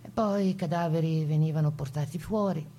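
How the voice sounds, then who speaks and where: A woman playing a camp survivor who gives her grave testimony in a radio play, plainspoken and halting, in a conversational way. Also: sits low, dark tone, a little hiss or hum, natural voice. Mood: grave, remembering. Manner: halting, conversational, with small fillers and tag questions. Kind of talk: dramatized first-person testimony in a radio play.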